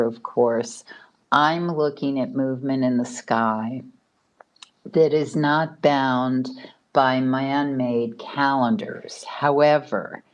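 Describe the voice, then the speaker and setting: A middle-aged woman astrologer giving a casual weekly forecast, talking in an unhurried, rambling way with restarts and soft laughs. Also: rumbling low. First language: not Spanish (lives in Mexico).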